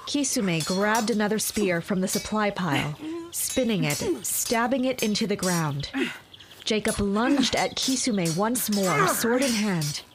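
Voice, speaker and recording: A woman's voice: medium pitch, animated, a little slow, background noise, lightly acted. A woman narrating a fantasy audio drama as a composed storyteller, reading scripted action.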